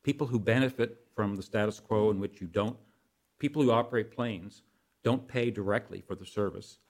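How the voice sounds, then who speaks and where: An older American man speaking as an expert guest in an interview, explaining things in a halting way with self-corrections. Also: lowish voice.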